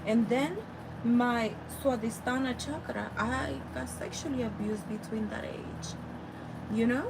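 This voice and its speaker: A woman with a Salvadoran Spanish accent delivers a spiritual teaching and keeps turning to her listeners to ask if they see her point. Her voice sits high and swings widely in pitch. Clear vehicle and street noise runs behind her. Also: measured pace. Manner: animated, addresses listeners directly. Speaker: spiritual healer.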